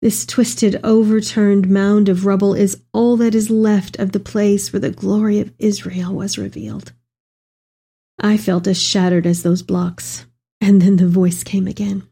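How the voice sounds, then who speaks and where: Casting: actress for an aged mother of the Messiah in audio drama, soft dark tone with a husky grain, tender, reflective monologue. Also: medium pitch, little pitch movement, unhurried. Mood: sorrowful, reflective. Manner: reflective, reverent storytelling. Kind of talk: dramatic monologue reading of a letter.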